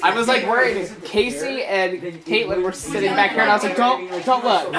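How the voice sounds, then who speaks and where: A woman's voice: medium pitch, brisk, over a noisy crowd. A woman reading a comic story live as part of a comedy troupe, a little tipsy and stumbling over words. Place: live show in a room.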